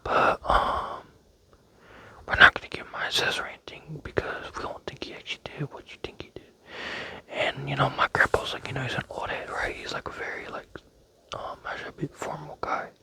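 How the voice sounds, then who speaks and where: Young male ASMR creator: gravelly whisper, bright edge, meandering and playful. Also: medium pitch, flat delivery, a little fast. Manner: playful, meandering, with many fillers. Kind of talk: rambling whispered ASMR chat.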